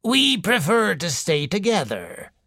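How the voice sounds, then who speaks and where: A wheezing, domineering old woman drags out every word slowly and coldly, as a male narrator puts her on. Her voice has a strained, airy texture and swings widely in pitch. It sounds close and dry, with no room around it. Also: wildly swooping pitch, gravelly, pushed and bright, dry recording, exaggerated voice. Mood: cold, controlling. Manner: slow, cold, controlling. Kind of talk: audiobook character reading.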